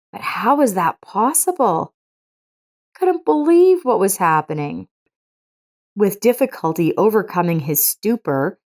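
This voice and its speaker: A woman reading a scripted story as its narrator. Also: lowish voice.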